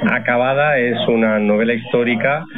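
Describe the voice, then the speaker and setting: A retired man in his sixties whose first language is Catalan, a novelist guest telling his stories in a measured, thoughtful way. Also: medium pitch, music in the background. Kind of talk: radio interview. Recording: phone-like radio broadcast with crowd behind. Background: crowd chatter.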